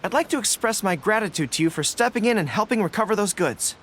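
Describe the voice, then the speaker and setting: An actor playing a polite young military general in a video game, speaking in a formal, courteous and earnest way. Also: male voice, sits high, animated, fast talker, a bit bright, a little hiss or hum.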